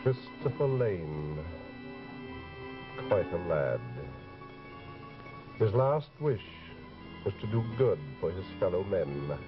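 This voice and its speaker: An actor playing a grave, knowing storyteller narrating in old-time radio style, somewhat distant on a muffled vintage recording. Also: male voice, deep voice, very animated, slow pace, long pauses, full character voice. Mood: grave, rueful. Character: grave, knowing male storyteller giving an epilogue. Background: music.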